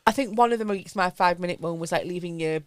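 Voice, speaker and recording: A woman's voice: lowish voice, fast, dry recording. A woman, co-hosting a casual couple's podcast, teasing and asking questions. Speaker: podcast co-host.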